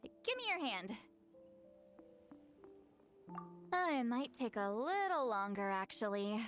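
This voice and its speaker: A woman's voice: a little high, sing-song, normal speed, a bit bright. A woman playing a centuries-old but youthful-sounding shaman in a video game, guarded, awkward and hesitant. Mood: focused. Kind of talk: scripted video game voice acting. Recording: game music under the voice.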